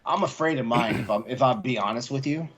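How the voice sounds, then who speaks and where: A man's voice: mid-range voice, quick pace, slightly rough. A man co-hosting a podcast in casual chat, rambling through questions with lots of filler words. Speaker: podcast co-host. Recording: dull, muffled podcast audio.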